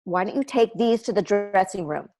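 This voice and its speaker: A woman's voice: medium pitch, moderate pace, a little mellow, close to an everyday voice. A woman playing a chirpy, doting, pushy sitcom mother in a table read over a video call. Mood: delighted, chatty. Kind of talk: comedy script table read. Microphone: computer on video call.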